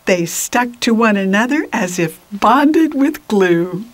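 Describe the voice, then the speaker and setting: Unhurried woman reading a picture book aloud. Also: medium pitch, dry recording. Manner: expressive, deliberate, drops into whispers. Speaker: children's storybook reader. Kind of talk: picture book read-aloud with asides to children.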